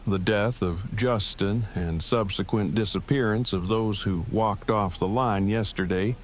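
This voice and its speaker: A man acts as an awkward, earnest male survivor in an audio drama. He speaks low, in stilted and overly formal phrasing, with faint noise behind him. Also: unhurried, lightly acted. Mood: solemn, formal. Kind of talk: fan audio drama acting.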